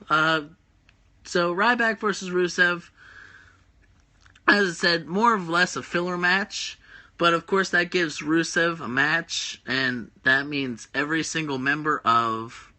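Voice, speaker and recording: A man hosts a loose, rambling livestream from home on his phone. His voice is groggy yet very bright and slightly high, and he fills his talk with hesitations while chatting with viewers. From West Virginia. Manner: rambling, many filler "uh"s, reads chat comments aloud. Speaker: host of a wrestling predictions stream. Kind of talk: casual livestream chat.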